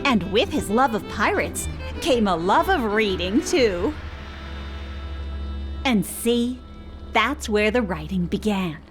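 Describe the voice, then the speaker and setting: An actress playing a fond, chatty, gently bossy woman telling family stories in a video game drama. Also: medium pitch, expressive, slow, long pauses, soft background music. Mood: fond, nostalgic.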